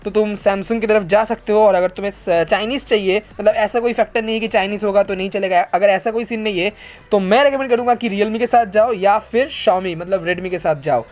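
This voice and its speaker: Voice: mid-range voice, a little fast, darkish tone. An Indian tech channel host casually reads out and answers viewer questions, explaining things conversationally and mixing English tech terms into the talk. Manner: conversational, explanatory, addresses listeners as bro and friends.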